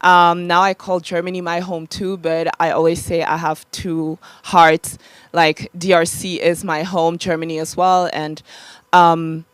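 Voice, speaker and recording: A woman's voice: lowish voice, level tone, unhurried, clear, resonant tone, no room sound. A Congolese-born woman in her thirties speaking on a panel, hesitant and heartfelt, with many fillers. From Democratic Republic of the Congo. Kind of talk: live panel talk, casual.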